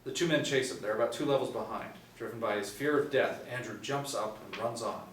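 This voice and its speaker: A man's voice: mid-range voice, fairly quick, fairly bright tone. Middle-aged man narrating in echoey room. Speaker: narrator reading stage directions. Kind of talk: scripted narration at a table read. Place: small indoor room, seated reading from tablet. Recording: echoey room, distant mic, faint noise.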